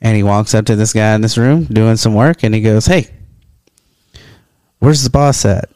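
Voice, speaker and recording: Young male co-host, casual rambling storyteller, clear smooth voice, dry close recording. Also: fairly low pitch, moderate pace, a little mellow, no echo. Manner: rambling storyteller, lots of "like" fillers. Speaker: podcast co-host. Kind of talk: casual chat.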